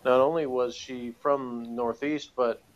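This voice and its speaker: A man's voice: medium pitch, fairly even intonation, unhurried, dull audio. Man from Texas chatting casually. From Texas, United States. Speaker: podcast co-host. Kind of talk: casual TV episode commentary chat.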